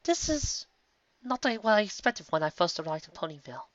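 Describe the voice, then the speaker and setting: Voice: medium pitch, big pitch swings, normal speed, a bit bright, no room sound, highs cut off, voice pushed up. A reader voicing a reserved young female pony cellist who talks politely and formally.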